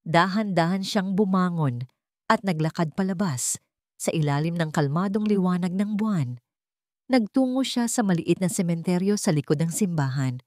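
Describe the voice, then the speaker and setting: A Filipino woman reading a scripted romance story in a measured, emotive storytelling manner. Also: on the low side, animated, darkish tone, dry recording, clean studio sound. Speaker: story narrator.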